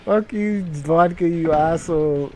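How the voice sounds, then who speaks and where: A man's voice: on the high side, unhurried, mellow. A man giving a mock-dramatic story reading with crude, irreverent comic asides. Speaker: reader and comedic streamer. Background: music.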